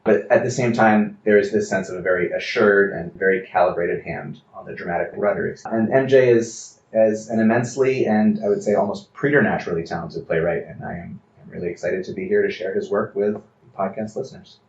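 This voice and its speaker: A man with a low voice co-hosts a podcast, talking in an articulate, considered manner. The recording is roomy and slightly muffled, with faint background noise. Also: brisk, vocal fry, hardly any pauses. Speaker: podcast co-host. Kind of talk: conversational introduction.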